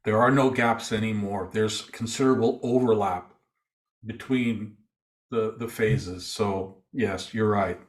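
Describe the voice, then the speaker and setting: A man's voice: fairly low pitch, fairly even intonation, measured pace, far from the mic. A man who helps shape the curriculum, speaking in an affirming, emphatic way on a call.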